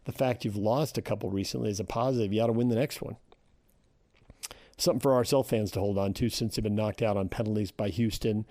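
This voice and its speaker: A man's voice: on the low side, dark tone, no echo. A man hosting a sports radio show, giving unscripted commentary on games.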